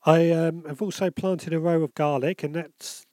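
A man's voice: a little high, fairly even intonation, moderate pace, darkish tone, no echo. Congested man hosting a podcast. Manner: rambling, lots of ums and self-corrections. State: bunged up with a cold. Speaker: podcast host. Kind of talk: casual solo podcast.

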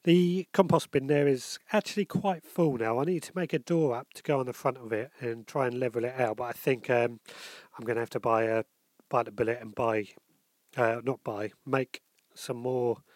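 A man's voice: mid-range voice, fairly even intonation, normal speed, a little mellow, no echo. A man with a bunged-up cold, hosting a casual solo podcast and rambling with lots of ums and self-corrections. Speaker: podcast host.

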